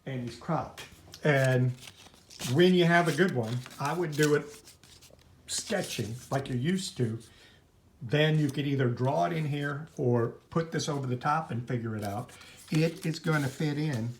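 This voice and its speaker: A man's voice: a little high, unhurried. A man teaching art in an informal, chatty, rambling hands-on demonstration, easily drifting into asides. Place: at home, indoors. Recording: noisy home recording, clicks.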